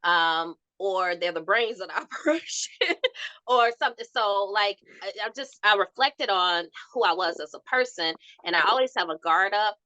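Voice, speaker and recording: A young woman with a soft voice telling stories in a candid podcast chat, acting out quotes as she goes. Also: medium pitch, normal speed, no room sound, muffled recording. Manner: animated storytelling with laughs and acted-out quotes.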